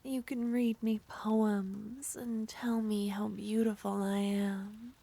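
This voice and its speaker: A woman performing an ASMR roleplay as a jealous, possessive witch, speaking softly and often whispering. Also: mid-range voice, flat delivery, takes it slowly, gravelly. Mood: flirty.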